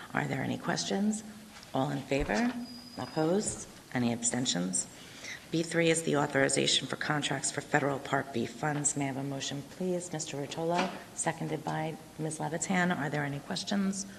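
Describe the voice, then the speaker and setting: A woman chairing a school board meeting, briskly reading agenda items and calling votes, her voice going hoarse with coughs. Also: low voice. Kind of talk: formal meeting procedure. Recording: reverberant hall with faint noise.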